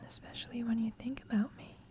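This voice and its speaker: A young woman playing a shy, lovestruck student roommate in an intimate ASMR roleplay, confiding hesitantly in a soft, breathy whisper. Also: on the high side, flat delivery, fast, natural voice. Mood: shy, uncertain.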